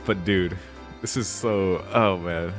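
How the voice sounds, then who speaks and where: Deep-voiced man doing casual let's play commentary. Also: takes it slowly, music in the background. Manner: foul-mouthed, informal, emotionally invested. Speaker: streamer playing and reading a visual novel. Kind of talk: casual let's play commentary mixed with reading game text aloud.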